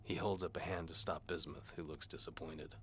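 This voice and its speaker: A man's voice: deep voice, fairly even intonation, moderate pace, bright, forceful tone, slight background noise. A man narrating a scripted first-person audio drama in a dry, hard-boiled noir style. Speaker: narrator and performer.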